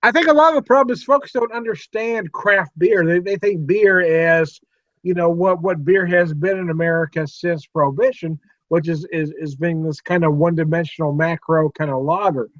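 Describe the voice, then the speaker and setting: A fifty-something man hosts a relaxed chat over a video call, talking in a folksy, laid-back way. His voice sits high for a man. The audio is dull and very dry. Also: dry recording. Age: 50s. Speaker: host.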